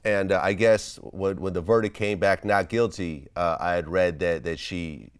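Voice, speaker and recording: A man working as an interviewer, asking questions casually and piecing them together in a halting way. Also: deep voice, steady tone, clear, resonant tone.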